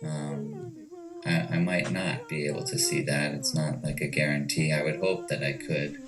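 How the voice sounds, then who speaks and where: A man with an American accent giving reflective, measured answers as an interview guest. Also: very deep voice, very animated, crowd noise. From United States. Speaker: interview guest, ayahuasca retreat owner. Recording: distant mic with clear music behind.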